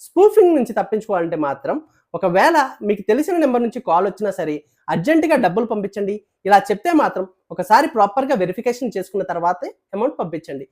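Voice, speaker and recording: A woman's voice: mid-range voice, big pitch swings, fast, a little mellow. A woman narrating news items from a script as presenter.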